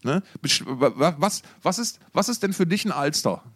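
A man chatting casually as a podcast co-host, excitable, often repeating words and cutting in. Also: on the high side, moderate pace, no echo, clean studio sound. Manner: excitable, stammering repetitions, interrupting. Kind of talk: casual podcast banter, anecdotes.

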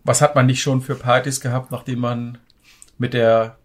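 A man's voice: mid-range voice, level tone, moderate pace. A man co-hosting a music podcast, chatting casually about metal in a rambling, explanatory way.

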